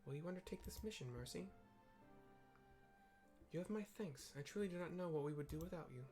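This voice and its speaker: An earnest woman leader from a fantasy game, acted out by a man, pitched high with a creaky edge. She speaks in formal, archaic phrases, dutiful and careful, over faint music. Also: a little fast, dark tone, close to an everyday voice. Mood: solemn, resolute.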